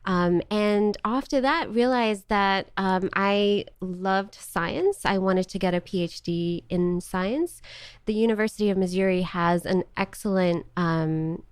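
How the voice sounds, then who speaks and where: A woman's voice: mid-range voice, unhurried, smooth, dry recording. A woman from South Africa, a guest in an interview, talking in a thoughtful, friendly way and laughing easily. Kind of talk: conversational interview.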